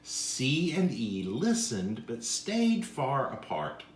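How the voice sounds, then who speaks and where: A man reading a children's story aloud as narrator in an expressive style. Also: medium pitch, slow pace. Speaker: storybook reader. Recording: echoey room sound.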